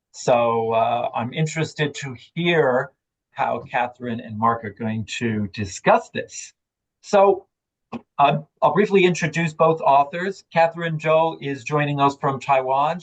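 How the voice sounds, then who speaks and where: A man moderating an academic book talk, speaking in a measured, slightly halting way as he introduces the authors. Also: mid-range voice, gravelly, low-quality recording.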